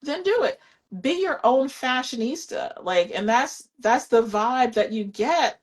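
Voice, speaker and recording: A woman's voice: high voice, lively intonation, a little slow. A woman in her fifties hosting a live shopping stream, chatting casually and rambling with lots of fillers. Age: 50s. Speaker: livestream shopping host.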